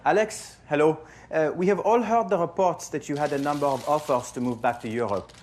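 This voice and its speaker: An actor playing a formal, probing sports journalist in a video game, measured and pointed with some hesitation. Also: male voice, on the high side, darkish tone, lightly acted. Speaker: reporter. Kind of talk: video game cutscene acting.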